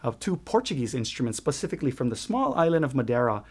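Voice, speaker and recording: A man's voice: medium pitch, big pitch swings, fast talker. A middle-aged man from Hawaii, answering interview questions in an earnest way, with many restarts and fillers. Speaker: guest, ukulele shop owner. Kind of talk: conversational interview.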